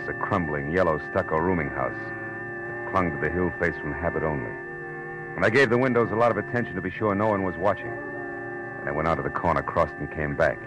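World-weary American detective: very low, deadpan, sardonic, old radio drama sound with music under. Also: male voice, low-quality recording. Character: tough, wry, world-weary male private eye. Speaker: lead actor and narrator. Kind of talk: hard-boiled 1940s radio detective acting.

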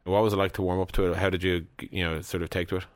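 A man's voice: sits low, flat delivery, fast talker, no echo. A man hosting a podcast interview, asking questions casually and leaning heavily on "like" and "you know.".

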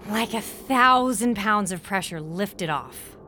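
A woman's voice: mid-range voice, lively intonation, slow, sharp tone, slight background noise. An actress playing an intense, wry, guarded young woman in her twenties, in natural audio drama dialogue.

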